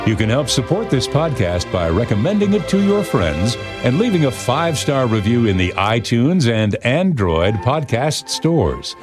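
A man reading scripted podcast credits as an announcer. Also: medium pitch. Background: music.

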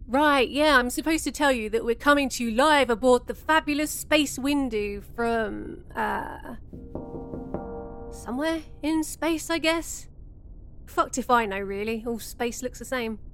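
A woman's voice: sits high, measured pace, a bit bright, faint music behind. A woman playing a sarcastic, easygoing, foul-mouthed spaceship show host, rambling and hesitant in an audio drama comedy. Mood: grudging, sarcastic.

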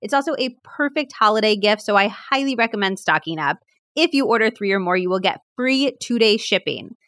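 A woman's voice: medium pitch, expressive, brisk, a bit bright, dry recording, clean studio sound. A woman hosting a podcast, bubbly and effusive as she asks questions and reads an ad. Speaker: podcast host. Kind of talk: enthusiastic interviewing and ad read.

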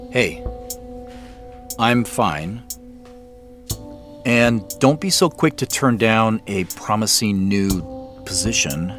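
A man's voice: lowish voice, slow pace, pauses often, a bit bright, faint music behind. An actor playing a wry, guarded man in an audio drama, talking in a dry, laid-back, natural way. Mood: measured, guarded. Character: wry, guarded man who suspects he is being spied on.

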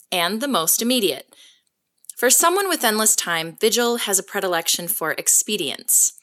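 A woman's voice: medium pitch, a bit bright. A woman playing an earnest podcast host, narrating a scripted documentary about a secret superhero.

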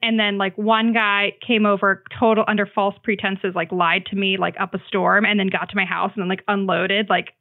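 A woman's voice: medium pitch, moderate pace, few pauses. A woman with a mid-range voice tells personal stories in a loose, conversational way as a podcast guest. She acts out bits of dialogue and peppers her sentences with "like." The audio is thin and band-limited, like a phone call. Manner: animated retelling with acted-out quotes, frequent "like". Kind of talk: casual chat, storytelling.

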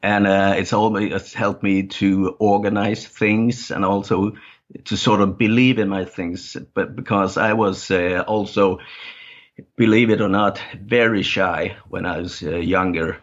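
Man, native Danish speaker, low pitch, halting with many fillers, muffled lo-fi audio. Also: steady tone. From Denmark. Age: middle-aged. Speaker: guest. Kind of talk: casual interview talk.

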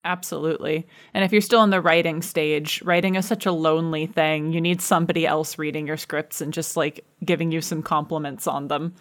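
Low-pitched American woman, interview guest. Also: fairly even intonation, fairly quick, hardly any pauses. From United States. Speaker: podcast guest, show creator. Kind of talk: casual interview talk.

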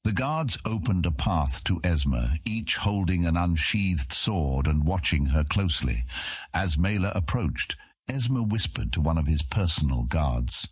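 A man narrates a fantasy audiobook in an extremely deep voice. The tone is husky and the recording is very dry and close. It is scripted storytelling. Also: expressive, measured pace, no room sound.

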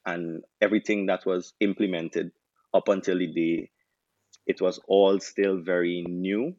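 A young man with a Trinidadian accent, a doctor turned chef, telling his story in a relaxed interview with many restarts. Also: low-pitched, a little slow, no room sound, dull audio. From Trinidad and Tobago. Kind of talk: casual interview answers.

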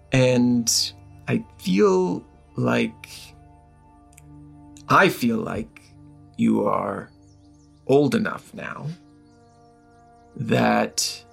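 Man playing a halting, protective mother, creaky. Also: medium pitch, heavily acted. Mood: grave, reluctant. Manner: halting, careful. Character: protective, troubled mother of a young adventurer.

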